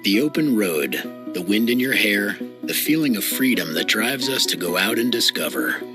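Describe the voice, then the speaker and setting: A man's voice: lowish voice, lively intonation, moderate pace. A man reading a scripted car commercial as a voice-over announcer. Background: music.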